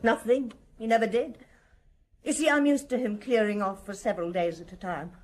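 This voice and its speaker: An actress playing an elegant, nervous, middle-aged wife in a radio drama, terse and brittle with a haughty, bitter edge. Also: female voice, medium pitch, moderate pace, lightly acted.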